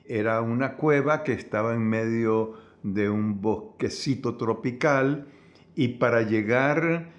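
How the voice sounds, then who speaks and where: A man recollecting in an unscripted interview, halting as he searches for words. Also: medium pitch, lo-fi sound. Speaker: interviewee, former guerrilla radio member.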